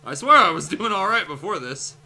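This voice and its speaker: Man, game stream host, strikingly bright tense voice, casual, wry, profane, music behind. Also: high voice, big pitch swings, brisk. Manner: sweary, wry. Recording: music playing faintly behind the voice.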